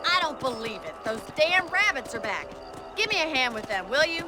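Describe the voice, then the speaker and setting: An American woman playing a brisk, wry, plain-spoken young rancher woman who gives orders and teases in a western video game. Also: high-pitched, sing-song. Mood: feisty. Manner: plain-spoken, commanding, teasing. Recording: game audio with loud music.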